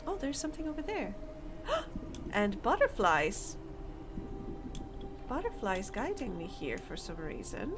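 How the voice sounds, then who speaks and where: A woman's voice: fairly high pitch, huge pitch swings, a little slow, long pauses, noisy background. A woman streamer chatting playfully and thinking aloud while she plays, sometimes reading in-game text aloud. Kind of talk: gameplay commentary.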